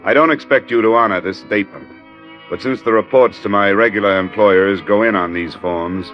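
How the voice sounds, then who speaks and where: A man's voice: low-pitched, close to an everyday voice. A man playing a wry, middle-aged American insurance investigator in a clipped, matter-of-fact old radio noir style. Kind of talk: 1940s-50s radio noir acting and narration. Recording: dull old radio recording.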